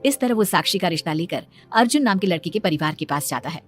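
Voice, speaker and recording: A woman's voice: lowish voice, expressive, fast talker, soft background music. A woman narrator reading a scripted story in a storytelling style.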